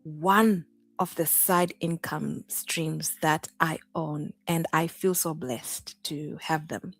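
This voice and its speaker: A woman's voice: low-pitched, fairly even intonation, takes it slowly, no room sound, soft background music. A woman from Zimbabwe, hosting a casual live-stream chat in a bubbly, exclamatory way. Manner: bubbly, exclaiming, laughs often. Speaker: host.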